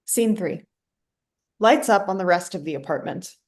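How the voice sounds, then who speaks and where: A woman's voice: lowish voice, expressive, normal speed, a little mellow. A woman reading stage directions as scripted narration for a play.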